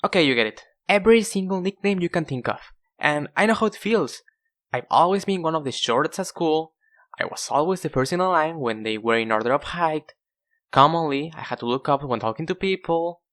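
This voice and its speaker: A man's voice: a little high, animated, a little fast, a bit bright. A young man narrating in a casual, self-deprecating, conversational way, like a scripted storytime video.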